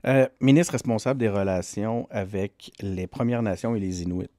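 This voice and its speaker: A man's voice: fairly low pitch, moderate pace, warm tone, dry recording, studio quality. A man with a Quebec French accent hosting a casual interview in an informal, friendly, somewhat hesitant way. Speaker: interviewer, host.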